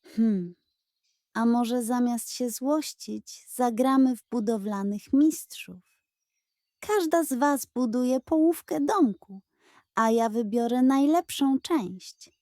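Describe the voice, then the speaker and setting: A kind little boy puppy from a children's storybook, acted by a woman storyteller. The voice is raised and mellow, gentle and earnest, with a playful, wise air and an easy pace. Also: on the high side, slow, dry recording, studio quality. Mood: playful, encouraging.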